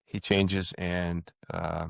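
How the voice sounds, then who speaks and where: An older man speaking as an interview guest author, earnest and halting, often stammering and repeating words. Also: deep voice, level tone, creaky, no room sound, clean studio sound.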